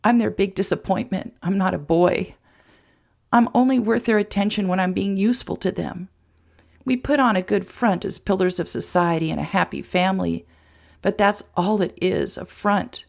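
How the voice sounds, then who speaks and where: A woman reading an injured, anxious young woman who speaks softly and often near a whisper. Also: mid-range voice, vocal fry, pitched above the performer's own voice. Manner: soft, strained, often whispery.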